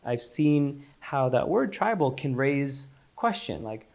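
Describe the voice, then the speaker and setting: A man born in Israel and raised in Los Angeles talks about his work as an interview guest. He speaks in a loose, meandering way, often restarting and repeating words, in a voice of ordinary middle pitch. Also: very animated, slow pace, gravelly, a little mellow. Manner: loose, searching delivery with frequent restarts and repeats. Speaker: guest, company founder. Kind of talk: casual interview chat.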